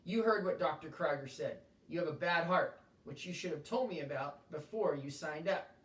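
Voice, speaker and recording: A man's voice: a little high, normal speed, far from the mic, lightly acted. A blunt, practical male mountain guide who talks in a matter-of-fact way, read by a man. The room echoes, and the voice sits back from the mic. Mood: firm.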